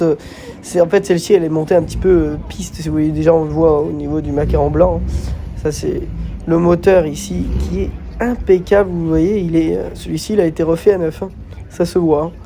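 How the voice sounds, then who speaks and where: A man's voice: fairly high pitch, fairly even intonation, fairly quick, creaky, mellow, a little hiss or hum. A man with a slight head cold gives casual vlog commentary as a host, hesitating and repeating words as he goes.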